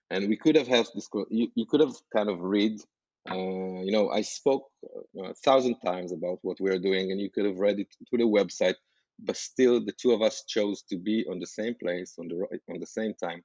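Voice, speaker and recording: An Israeli-accented man with a notably low voice speaks earnestly as a podcast guest, stopping and restarting his sentences and leaning on fillers. The audio is dry and a little muffled. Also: a little mellow, no room sound. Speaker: startup founder, guest. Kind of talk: podcast interview.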